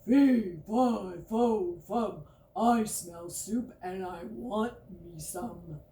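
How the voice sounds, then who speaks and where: A woman voicing a hungry male giant from a fairy tale, chanting loudly and emphatically. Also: mid-range voice, drawn-out words. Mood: booming, hungry. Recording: roomy, distant mic with faint noise.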